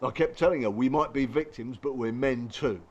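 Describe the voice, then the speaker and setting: Casting: male actor for plain-talking footballer, supportive and streetwise, mid-pitched, radio play. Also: moderate pace, muffled recording, lightly acted. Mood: blunt, rueful. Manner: blunt, colloquial, reassuring. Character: blunt, supportive working-class footballer, male abuse survivor. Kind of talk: audio drama acting.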